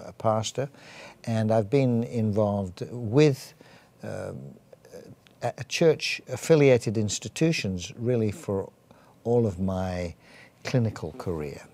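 An English man, a church health director and physician, answering interview questions in a measured way, with fillers and restarts. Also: fairly low pitch, warm tone. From England.

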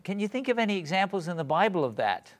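A man hosting a conversational faith interview, speaking in a reflective, measured way. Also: sits high, big pitch swings. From born in England. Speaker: interview host.

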